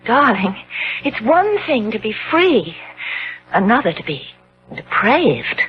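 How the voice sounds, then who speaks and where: A woman's voice: fairly high pitch, very animated, slow. An actress playing a devoted, anxious young fiancée in a 1970s radio drama, earnest and hesitant in her melodramatic delivery. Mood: worried. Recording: dull, noisy old radio broadcast.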